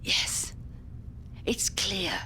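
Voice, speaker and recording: An actress playing a suspicious, excitable elderly care home resident in an audio drama. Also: female voice, extremely slow, whispered. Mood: conspiratorial. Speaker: voice actor.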